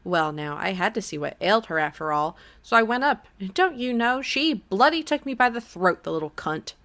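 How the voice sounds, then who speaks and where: A woman's voice: mid-range voice, lively intonation, normal speed, a bit bright. A woman voicing a crude, whiny dead man. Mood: whiny indignant. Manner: whiny, pathetic, swearing.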